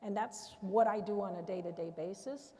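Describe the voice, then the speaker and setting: Mellow-voiced woman in a reverberant hall. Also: medium pitch, normal speed. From South Texas. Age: middle-aged. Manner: chatty, self-deprecating, with light laughs. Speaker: panelist, engineer. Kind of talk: live panel self-introduction.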